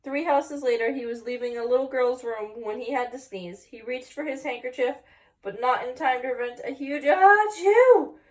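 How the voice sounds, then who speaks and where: Higher-voiced woman reading a storybook, slightly distant. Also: normal speed. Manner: expressive storytelling with chatty asides to young listeners. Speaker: story time reader, teacher. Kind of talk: read-aloud children's picture book with asides.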